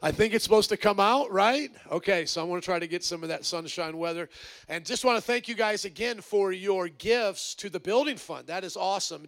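A man preaching to his congregation with a congested, stuffed-up voice. His pitch sits high for a man, and a gravelly fry runs through it. He talks in an upbeat, rallying way. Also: fairly bright tone. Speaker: pastor. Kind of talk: energetic sermon opening. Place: church service.

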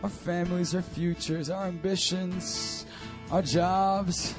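A hoarse man leading worship, praying and exhorting a church congregation into a stage mic. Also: sits high, level tone, takes it slowly, over music. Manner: urgent, exhorting calls to the crowd. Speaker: worship leader and preacher. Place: church service hall. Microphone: handheld stage mic.

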